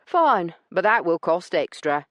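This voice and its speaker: Woman putting on a sneering lowlife crook. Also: very animated, measured pace, dry recording, studio quality. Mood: insolent. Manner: drawling, insolent, lower-class speech. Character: skinny smirking male dockside crook.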